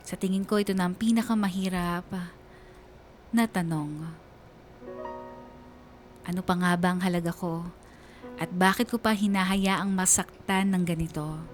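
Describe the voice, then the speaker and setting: A woman voicing a heartbroken letter writer in scripted first-person narration, reflective and emotional, with deliberate phrasing. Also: on the low side, fairly even intonation, soft background music, lightly acted. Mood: wounded.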